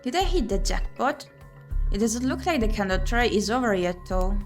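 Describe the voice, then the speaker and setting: A woman narrates a visual novel as she plays, reading the text aloud with dramatic expression. Her pitch sits in the middle range for a woman. Game music plays clearly behind her voice. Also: expressive, normal speed. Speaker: VTuber streamer playing a visual novel.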